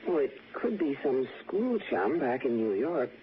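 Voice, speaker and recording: A woman's voice: extremely low pitch, very animated, measured pace, full character voice. An actress playing an anguished, proud, indignant mother in 1960s radio drama, clipped and faltering as she presses her case. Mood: baffled, uneasy. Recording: dull, noisy 1960s radio recording.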